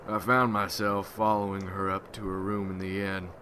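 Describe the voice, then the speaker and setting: A man playing a weary, haunted rural Civil War veteran turned vampire hunter, telling his story slowly and haltingly in an ASMR roleplay. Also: low voice, level tone, pushed and bright, slight background noise. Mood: grave. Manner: slow, halting, somber folksy storytelling.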